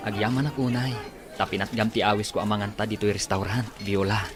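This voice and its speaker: A man acting a gentle, earnest young village suitor in an Ilocano radio drama. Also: on the low side, level tone, fairly quick, loud music behind the voice, close to an everyday voice.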